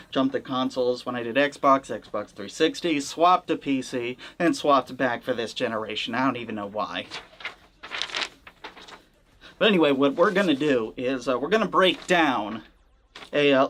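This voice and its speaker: A man's voice: mid-range voice, fairly bright tone. A man hosting a gaming channel, talking through a topic in a casual, wry way with plenty of hedging. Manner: conversational, wry, hedging with fillers. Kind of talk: casual commentary.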